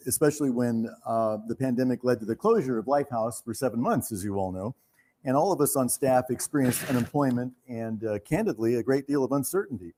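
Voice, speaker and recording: A man giving a live thank-you speech as a company leader, earnest and measured, with pauses. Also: mid-range voice, a little mellow. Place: theater, live before an audience.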